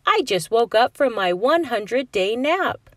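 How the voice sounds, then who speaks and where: A sleepy little storybook groundhog boy, read by a woman. He sounds mild and innocent, with slow speech. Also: a little high, big pitch swings, a bit bright, no echo, studio quality, voice pushed up.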